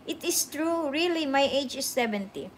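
A woman's voice: sits high, expressive, moderate pace, a little hiss or hum. A woman in her sixties chatting casually on a livestream from her phone, thanking viewers and answering comments while sounding sleepy. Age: 60s. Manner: chatty, mixes languages, repeats thanks to viewers. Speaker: livestream host. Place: indoor room with sofa. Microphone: phone camera at arm's length.

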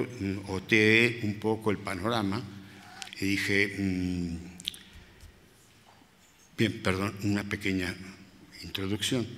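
An elderly man in his eighties, from Spain, reminisces at a panel in a large museum hall. His low voice has a bright, forceful edge, broken by pauses and self-corrections. A long hall reverb trails behind every phrase from the table microphone. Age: 80s. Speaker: restorer and panelist. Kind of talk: panel talk, personal reminiscence. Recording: hall reverb from public-address room.

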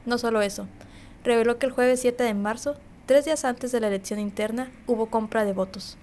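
A woman's voice: on the high side, fairly quick, slight background noise. Woman reading news narration. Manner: steady, formal reading. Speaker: news reporter.

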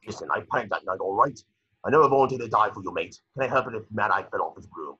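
A shifty street peddler acted by a man, indignant and always defending himself. The voice is husky and forceful, grumbling colloquially in a script reading over a muffled call. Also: on the low side, animated, fast talker, full character voice. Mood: defensive, grumbling. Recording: muffled video-call audio.

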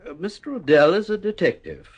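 An actor playing a sinister, wealthy gentleman with a soft, snaky, silky voice and a polished, condescending manner, in old-style radio drama acting. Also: high-pitched, big pitch swings, a little fast, breathy edge, full character voice. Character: smooth, sinister gentleman racehorse owner.

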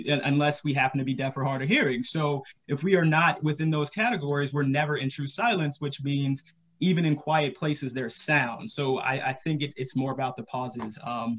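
Higher-voiced man giving casual advice. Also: fairly bright tone. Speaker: panelist, audio drama podcaster. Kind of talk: casual explanatory panel talk. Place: room at home, video call. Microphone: headset on a video call.

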